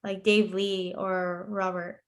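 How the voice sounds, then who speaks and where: A woman's voice: medium pitch, level tone, slow. A woman co-hosting a podcast, talking casually and without a script. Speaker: podcast co-host. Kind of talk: casual unscripted podcast chat.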